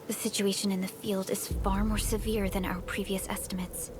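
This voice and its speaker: A woman voicing a composed, caring young field supervisor in a video game, measured and formal, with music playing. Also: medium pitch, level tone, natural voice. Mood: grave, determined.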